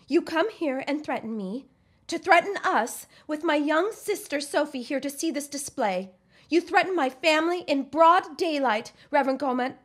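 An actress playing a proud, defiant spinster in a period audio drama, formal and indignant, protective of her family. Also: high voice. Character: proud, defiant early-1900s spinster, protective elder sister.

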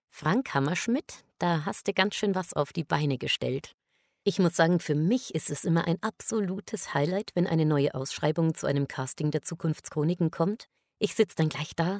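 A woman's voice: deep voice, vocal fry, darkish tone, no room sound, clean studio sound. A woman speaking a congratulatory message as if addressing a colleague directly.

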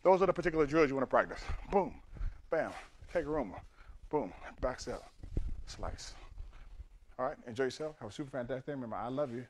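An older man teaches judo in a big matted gym, walking through each technique out loud and marking every step with a sharp "boom". His voice sits at an ordinary middle pitch. It is picked up by a camera across the room. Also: animated, brisk, pauses often, a little hiss or hum. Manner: punchy, repeats "boom" between steps. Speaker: judo coach. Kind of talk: demonstrating techniques to students. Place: large judo gym with mats.

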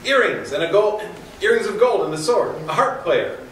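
Man reading a stern abbot, echoey and animated. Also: high voice, normal speed, crowd noise. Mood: suspicious, stern. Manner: archaic, commanding declamation. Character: stern, imperious abbot in a verse drama. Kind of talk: staged dramatic reading. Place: hotel conference room, indoors. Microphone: camera across the room. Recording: very echoey room, distant mic.

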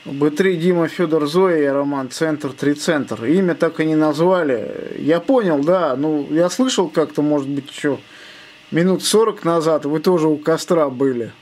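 A man's voice: on the high side, moderate pace, darkish tone. A man making casual amateur radio contact, spelling out call signs in phonetic words. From Russia.

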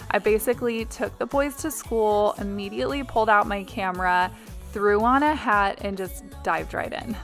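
A woman's voice: medium pitch, moderate pace, over music. A woman in her thirties, a mother and home vlogger, chatting through a cleaning video voice-over in a confiding, casual way with little ums.

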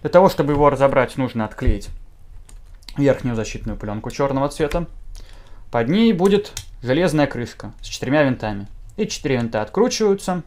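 Young male gadget reviewer, informal, mid-pitched, lively intonation. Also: a little fast. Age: young adult. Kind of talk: informal product review to camera. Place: small indoor room, seated at a table.

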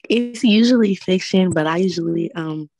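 Low-voiced young woman, dry and earnest. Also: moderate pace, a little mellow, no echo. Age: late teens, young adult. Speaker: high school student audience member. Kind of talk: informal audience comment. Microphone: video call.

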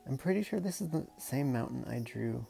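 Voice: medium pitch, lively intonation, fairly quick, vocal fry, dark tone, soft background music. A storyteller reading a picture book aloud in a casual, playful way, with chatty murmured asides.

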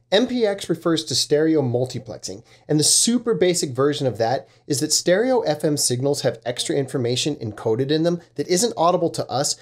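A man's voice: on the high side, darkish tone. A man in his thirties giving a casual, plain-spoken scripted explainer as a review host, recorded at a desk microphone in a small room. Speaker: host of a vintage audio gear review channel.

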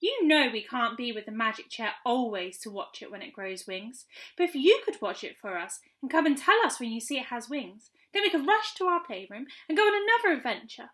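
A woman's voice: fairly high pitch, very animated, fairly quick, fairly bright tone. A woman voicing an eager, curious young girl in a children's storybook, exclaiming earnestly.

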